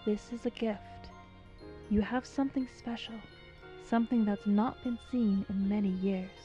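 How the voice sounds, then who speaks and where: A woman acting anxious, emotional female characters in a dramatized fantasy story, with halting delivery. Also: mid-range voice, fairly even intonation, dark tone, highs cut off, faint music behind, lightly acted. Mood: earnest, urgent.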